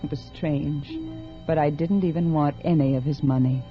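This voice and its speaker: A restless wife played by a radio actress confides a secret love in a low, polished, intimate voice. It is 1940s radio drama, on a dull old recording with music behind her. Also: fairly even intonation, moderate pace, lightly acted. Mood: confessional, brooding. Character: restless young married woman confessing a secret love affair. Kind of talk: 1940s radio drama acting, first-person confessional narration.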